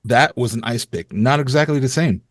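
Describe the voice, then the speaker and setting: A man's voice: medium pitch, brisk, no echo, studio quality, natural voice. Wisecracking teenage geek, acted by a man. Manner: wisecracking, sarcastic. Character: witty, jokey, tall gangly high-school boy. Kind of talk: live table read of a slasher film script.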